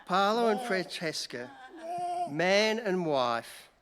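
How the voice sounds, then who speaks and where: A man's voice: sits high, very animated, slow pace, a crowd in the background, exaggerated voice. A man playing an easygoing, wisecracking newspaper reporter in a radio play, folksy and wry. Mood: sentimental. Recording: echoey hall, noisy stage recording.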